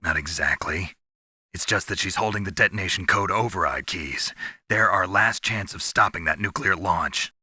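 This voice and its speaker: A gruff covert soldier from a video game, with a very low, husky voice. He speaks in terse, guarded lines with a hard, forceful edge, cold and pragmatic. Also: moderate pace, no room sound, studio quality, full character voice. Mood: grim, cold. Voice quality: gravelly, husky.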